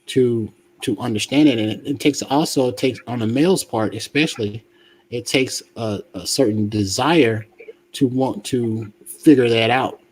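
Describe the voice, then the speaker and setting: A man casually giving relationship advice in a colloquial, halting way, often repeating himself. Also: mid-range voice, slightly rough, rough recording.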